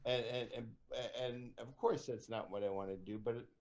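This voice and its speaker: An American man of about fifty hosting a casual chat, talking in a halting, rambling way and repeating words. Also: medium pitch, fairly even intonation, darkish tone, lo-fi sound. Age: 50s. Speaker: podcast host.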